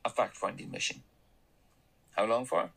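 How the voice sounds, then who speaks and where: Wry, gravelly office analyst. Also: male voice, on the low side, moderate pace, fairly bright tone, heavily acted. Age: 30s. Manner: sardonic, dry narrating asides. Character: wry male office analyst in his thirties. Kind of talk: radio drama narration and dialogue.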